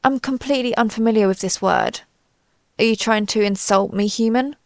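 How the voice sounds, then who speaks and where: A woman's voice: on the high side, normal speed, no echo, close to an everyday voice. A woman playing a pompous, easily flustered female alien invader in a scripted audio roleplay, with haughty, theatrical, formal phrasing. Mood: haughty, suspicious.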